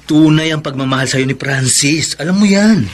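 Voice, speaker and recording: An actor playing a firm older brother who gives blunt, admonishing advice in a Filipino radio melodrama. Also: male voice, on the high side, moderate pace, lightly acted. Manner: admonishing, dramatic.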